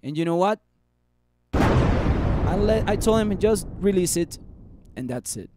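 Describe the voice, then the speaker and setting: A man's voice: sits high, expressive, slow pace, very noisy. A man in his thirties streaming live stock chart commentary, chatty and upbeat as he reads viewer comments, his voice worn from talking. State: losing his voice. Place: small room at a desk.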